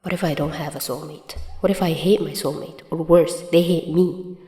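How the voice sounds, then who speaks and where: A woman's voice: deep voice, fairly even intonation, moderate pace, mellow, slightly rough, faint music behind. A woman voicing an anxious, grumpy, foul-mouthed teenage boy with blunt muttering in a story reading.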